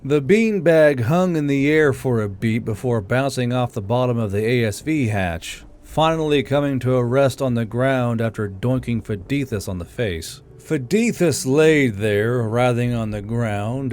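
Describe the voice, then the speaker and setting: A man narrating an audiobook chapter in steady, measured storytelling. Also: medium pitch.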